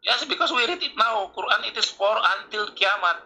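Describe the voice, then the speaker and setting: A man from Indonesia, a guest in a debate, answering hesitantly with many halting restarts over a remote call. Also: sits high, lively intonation, gravelly, a loud crowd. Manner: hesitant, halting, repeats words.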